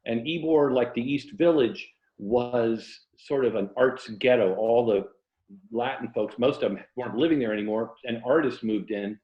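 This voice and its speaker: A man's voice: mid-range voice, normal speed, reverberant, highs cut off. A middle-aged or older man from Florida talking casually and reflectively as an interview guest, telling stories about his own life. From Tampa, Florida.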